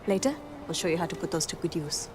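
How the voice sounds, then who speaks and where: A woman's voice: on the low side, animated, fast talker, background noise, lightly acted. A woman playing a stern, protective young rebel leader in a video game, with a firm, instructing manner. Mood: guarded, earnest. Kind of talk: video game cutscene acting. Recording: loud music behind.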